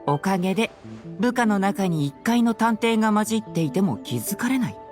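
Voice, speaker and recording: A youthful detective in an anime game. He speaks quickly and confidently, calm and clever, over faint music. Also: sits high. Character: clever, composed, boyish young detective. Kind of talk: Japanese anime game voice acting.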